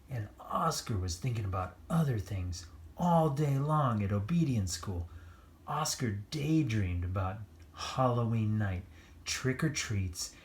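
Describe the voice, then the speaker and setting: Children's read-aloud host: unhurried, playful delivery, drifting into chatty asides about the pictures. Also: medium pitch, darkish tone. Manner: playful, lingering over pictures with exclamations. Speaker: children's story-time reader.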